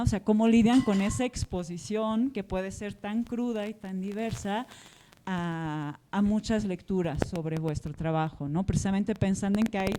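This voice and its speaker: A woman's voice: mid-range voice, dark tone, a little hiss or hum. A woman from Portugal moderating a literary panel, speaking reflectively and discursively, often checking in with short tag questions. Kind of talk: reflective spoken question with personal anecdote at a public talk.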